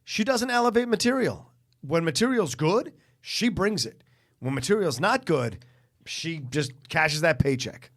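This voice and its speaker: Animated, blunt man co-hosting a film podcast. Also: a little high, unhurried. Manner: opinionated, blunt, emphatic, sweary. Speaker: podcast co-host. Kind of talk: casual film review chat.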